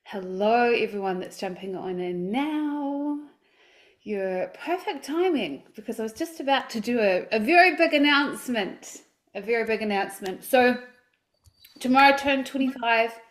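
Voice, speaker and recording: A woman's voice: a little high, lively intonation, normal speed. A woman in her forties, a life coach, talking casually and upbeat on a livestream, with lots of fillers and restarts. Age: 40s.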